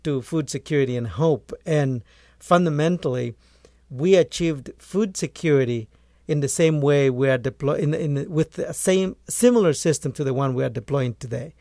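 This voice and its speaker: Gravelly man from Guatemala. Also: a little high, normal speed, a little mellow, no room sound, studio quality. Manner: earnest, restarts phrases often. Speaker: poultry farmer and author. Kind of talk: conversational interview answers.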